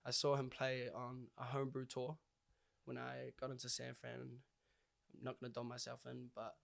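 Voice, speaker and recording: A man's voice: mid-range voice, monotone, moderate pace, vocal fry. A man with a New Zealand accent talking casually and informally, with plenty of slang, as a guest in a conversation. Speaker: podcast guest, hip-hop artist.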